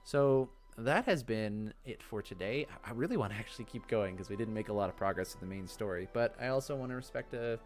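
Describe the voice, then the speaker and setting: A man's voice: fairly low pitch, fast talker, few pauses, faint music behind. A man hosting a let's play, chatting casually and thinking aloud as he goes. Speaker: gaming video host.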